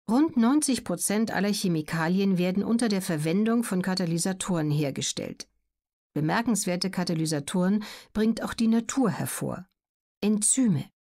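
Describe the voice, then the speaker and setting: A woman reading scripted educational narration as an audiobook narrator, in a measured, articulate way. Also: fairly low pitch, darkish tone.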